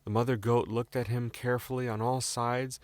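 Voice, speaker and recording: A man's voice: medium pitch, little pitch movement, normal speed, a little mellow, no room sound. A man with a British accent reading a scripted fairy tale as a storyteller.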